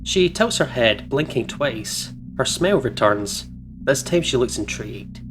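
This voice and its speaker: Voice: mid-range voice, lively intonation, a little slow, music in the background. A game streamer giving casual, playful and reactive commentary while reading a visual novel's narration aloud. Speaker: game streamer, let's play host.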